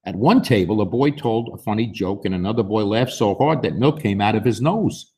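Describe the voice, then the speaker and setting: A man in his sixties with a husky voice, reading a picture book aloud to young children in an expressive, unhurried way. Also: on the low side. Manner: expressive, unhurried, with emphatic swings. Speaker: story time host reading aloud. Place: school library, indoors.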